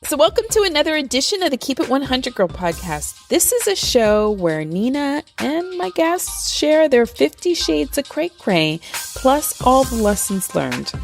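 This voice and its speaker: A woman's voice: a little high, normal speed, gravelly, faint music behind. A woman hosting a podcast, reading her intro in a sassy, playful manner. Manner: sassy, playful, lively delivery. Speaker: podcast host. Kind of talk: upbeat scripted podcast intro.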